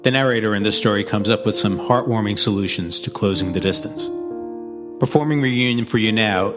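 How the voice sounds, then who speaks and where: A man giving a prepared, reflective introduction as the author of an audio story, in a measured way. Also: lowish voice. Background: clear music.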